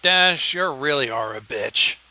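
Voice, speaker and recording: Sharp, lively, sarcastic man. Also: mid-range voice, measured pace. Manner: blunt, profane, sarcastic. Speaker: co-host heckler. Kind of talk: casual sarcastic commentary.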